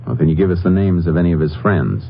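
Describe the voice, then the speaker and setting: A low-voiced, unflappable Texas Ranger. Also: male voice, fast talker, gravelly. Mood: calm probing. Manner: terse, polite, methodical questioning. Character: calm, persistent Texas Ranger investigator, male. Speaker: actor. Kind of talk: 1950s radio drama acting. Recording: muffled, noisy old radio recording.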